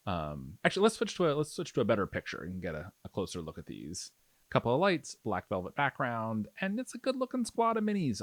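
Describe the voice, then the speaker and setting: A man's voice: medium pitch, fairly bright tone, no room sound. A man narrating a hands-on tutorial in a casual, conversational way as the host. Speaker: miniature painting tutorial host.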